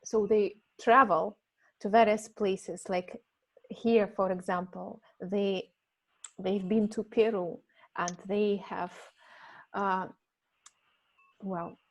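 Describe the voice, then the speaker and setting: A Russian-native woman hosting an English conversation club over a video call, talking casually and halting often with fillers and restarts. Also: mid-range voice, steady tone, low-quality recording. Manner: hesitant, many fillers and restarts. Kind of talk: casual teaching chat.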